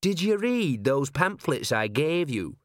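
A man voicing an earnest, excitable male constable and religious pamphleteer in an audiobook, eager and emphatic. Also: a little high, takes it slowly, no echo, clean studio sound, pitched above the performer's own voice, full character voice. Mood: eager, suspicious. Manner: eager, emphatic, easily moved. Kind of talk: audiobook character voice.

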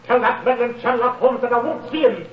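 Furious high-pitched laird, echoing. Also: male voice, brisk. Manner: shouting, imperious. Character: furious, willful Scottish laird with terrible temper. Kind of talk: 1940s radio drama acting. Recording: echoey, dull old radio, off-mic.

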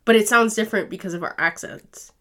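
A woman's voice: mid-range voice, quick pace, sharp tone. A young woman co-hosting a casual podcast, playful and teasing, laughing often. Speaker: podcast co-host. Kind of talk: casual chat. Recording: faint background hiss.

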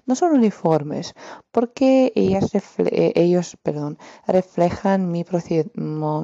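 Woman, slow and dark-voiced. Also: low-pitched, no echo, dull audio. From Romania. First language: Romanian. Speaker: podcast host. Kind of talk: casual solo podcast, reflective.

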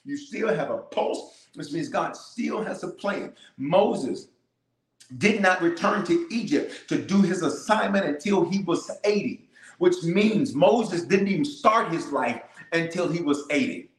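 A man's voice: fairly high pitch, lively intonation, measured pace, reverberant, poor audio. A man, a bishop, leading a livestreamed prayer with fervent, repetitive declarations and calls to the viewers. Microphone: cameras in front of him.

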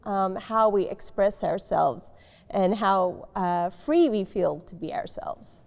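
A woman, speaking on a panel with a halting delivery full of fillers. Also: mid-range voice, expressive, creaky, a little mellow. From Nashville, United States. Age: 50s. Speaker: panelist, nonprofit director. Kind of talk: panel discussion. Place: large library reading room. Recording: long reverb of a large hall.

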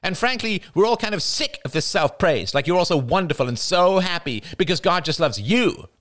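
A man's voice: fairly high pitch, very animated, normal speed, fairly bright tone, pitched above the performer's own voice. A man voicing a bitter, defiant young woman with a nasal voice that rises to a shriek.

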